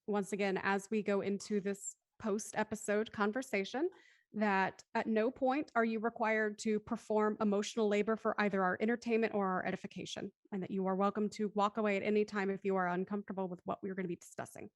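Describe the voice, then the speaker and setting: A woman's voice: mid-range voice, little pitch movement, a little fast. A woman in her thirties hosting a post-show discussion, speaking in a careful, wordy, articulate way. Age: 30s. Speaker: host and moderator.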